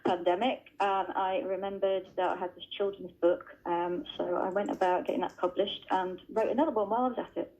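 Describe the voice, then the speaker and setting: A woman's voice: mid-range voice, steady tone, brisk, hardly any pauses, darkish tone, rough recording. A woman children's author chatting as a radio guest from home, talking freely with lots of fillers and restarts. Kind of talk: casual interview answers. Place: at home, joining remotely. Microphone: remote line into radio studio.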